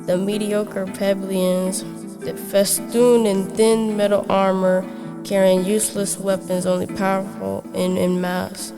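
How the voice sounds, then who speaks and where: A woman's voice: medium pitch, flat delivery, a little slow. A girl in her early teens giving a scripted literary reading as a student, with guitar behind. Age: young teenager. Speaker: student reading own writing. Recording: plucked guitar music behind the voice. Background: plucked guitar music.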